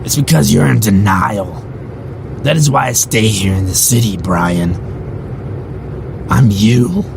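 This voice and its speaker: A man's voice: fairly low pitch, slow pace, creaky, pauses often, background noise. A man voicing a bitter, ominous faceless man in an audio drama, speaking in preachy philosophical monologues. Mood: cryptic.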